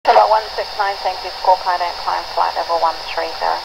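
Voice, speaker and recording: A woman air traffic controller speaks over a noisy radio channel. She gives clipped instructions quickly, in a lowish voice with a gravelly fry. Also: steady tone. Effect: radio transmission.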